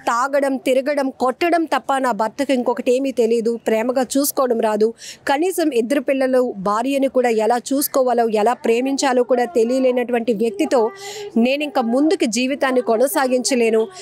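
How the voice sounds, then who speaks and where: A woman's voice: sits high, fairly even intonation, normal speed, darkish tone. A woman news presenter narrating in a solemn, earnest, emotive way, appealing to viewers.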